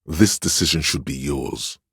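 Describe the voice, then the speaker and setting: A very deep, imperious authority voice. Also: male voice, gravelly, sharp tone, husky, no echo, clean studio sound, heavily acted. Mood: shrewd, insistent. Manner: grave, imperious, measured. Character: stern, proud inner voice of authority, commanding and domineering. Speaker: video game voice actor. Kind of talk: dramatic game narration in second person.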